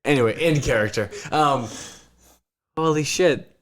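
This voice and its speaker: A young man playing a tabletop role-playing game, joking, swearing and laughing a lot, sometimes speaking in character. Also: mid-range voice. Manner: laughing, profane, rapid joking. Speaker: player. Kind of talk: casual tabletop game chat, partly in character.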